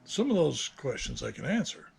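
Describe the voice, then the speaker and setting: A man's voice: mid-range voice, big pitch swings, normal speed, gravelly, faint music behind. A man of sixty-nine giving an unscripted, reflective vlog monologue, hesitant and rambling as he thinks aloud. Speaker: hobbyist maker presenting own video channel.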